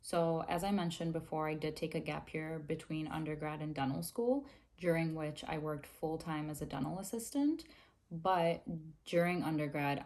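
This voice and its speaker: A young woman from Zambia, a dental student, answering interview questions to camera in a measured, earnest way from a small room. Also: sits low, a little mellow. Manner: measured, earnest, explains with hand gestures. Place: small indoor room, plain wall.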